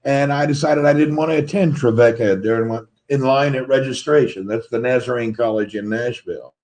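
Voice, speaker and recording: A man's voice: medium pitch, a little fast, darkish tone. A man from Tennessee, co-hosting a live-stream chat and asking friendly, short questions. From Tennessee, USA. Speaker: co-host. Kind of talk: casual chat.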